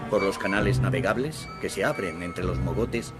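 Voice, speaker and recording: Man doing calm doc voice-over, kinda low voice, music loud under it. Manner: measured, descriptive. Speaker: documentary narrator. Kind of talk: scripted narration.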